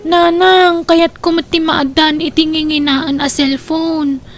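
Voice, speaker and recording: A woman's voice: high voice, flat delivery, slow, over music. A woman voicing a young, girlish daughter in an audio drama, inquisitive and coaxing.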